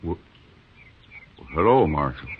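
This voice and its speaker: An actor playing a grim, defensive frontier homesteader in a 1950s radio western, with an American accent and a husky, halting rustic delivery. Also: male voice, rumbling low, very animated, slight background noise, full character voice. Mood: bitter, subdued. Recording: muffled, band-limited old radio recording.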